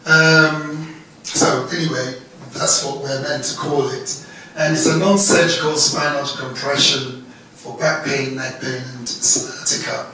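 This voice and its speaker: A man in his fifties with a Ghanaian accent, giving an informal public talk as an osteopath in a halting, deliberate way. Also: fairly high pitch, fairly even intonation, bright, forceful tone. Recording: echoey room, distant mic, faint noise.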